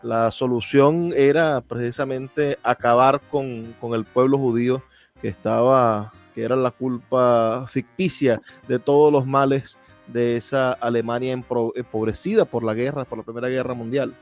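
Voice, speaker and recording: A Venezuelan man presents a radio program in a mid-range voice. His delivery is earnest, measured and reflective, like a host addressing his listeners. Faint music plays behind him. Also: mid-range voice, fairly even intonation. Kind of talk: radio show introduction and interview.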